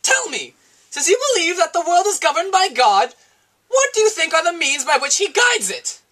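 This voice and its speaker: Stern female spirit of wisdom, acted by a woman, high-pitched, bright, theatrical, declamatory, lofty teacher, philosophical stage dialogue. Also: sing-song, moderate pace, heavily acted. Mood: probing. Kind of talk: dramatic reading of a philosophical dialogue.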